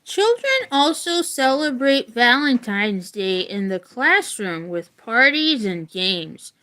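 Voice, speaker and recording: Slow-paced woman reading aloud. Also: a little high, pushed and bright, poor audio. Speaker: educator reading a book aloud. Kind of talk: read-aloud of a children's nonfiction book with casual asides.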